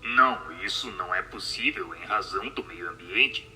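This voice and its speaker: A man's voice: sits low, normal speed, pushed and bright, soft background music, voice pushed down. A man voicing a solemn, assured spirit who answers questions in a radio drama.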